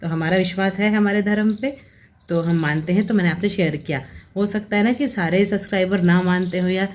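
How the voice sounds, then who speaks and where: A woman's voice: mid-range voice, fairly even intonation, brisk, darkish tone. A woman in her thirties talking through a family vlog at home, chatty and explaining things at length with rambling asides. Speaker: family vlogger.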